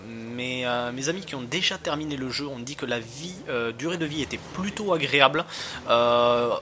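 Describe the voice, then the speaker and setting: A man with a bright, crisp-edged voice of middling pitch reviews a video game as he plays. His delivery is casual and colloquial. He rambles and hesitates as he looks for the next thought. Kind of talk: casual gameplay commentary.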